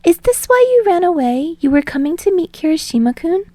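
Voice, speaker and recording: An excitable young woman as a narrator acts her out in an audiobook, pitched very high and exclaiming in a bubbly, expressive way. The sound is dry and close. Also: fairly quick, no room sound. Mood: indignant. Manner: gushing, exclaiming.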